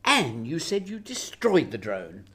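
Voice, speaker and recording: A woman acts an exasperated female political leader in a radio drama. Her voice is lowish and husky, with lively swings. She is sarcastic, emphatic and quick to scold. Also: full character voice. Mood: accusing. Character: exasperated, sharp-tongued female Prime Minister under pressure.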